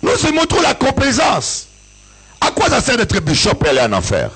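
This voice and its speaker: A bishop from Africa giving an impassioned church sermon, his voice rising in sing-song peaks and breaking into shouts. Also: very high voice, wildly swooping pitch, moderate pace, breathy edge. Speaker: bishop and pastor. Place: church service with an audience.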